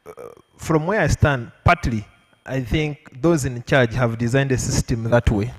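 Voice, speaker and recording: A man with a Ugandan accent giving an impromptu answer as a panelist, measured and emphatic with repetition, his voice a little weak from illness. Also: medium pitch. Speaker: panelist at a public lecture. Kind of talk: impromptu public speech to an audience. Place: lecture hall with an audience. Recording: echoey hall with long reverb.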